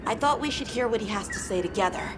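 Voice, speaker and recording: A woman's voice: mid-range voice, animated, quick pace, close to an everyday voice. A woman voicing an earnest, compassionate young apprentice hunter boy in a video game, speaking with some hesitation. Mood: grave, grateful. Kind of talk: video game cutscene acting. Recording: loud music behind the voice.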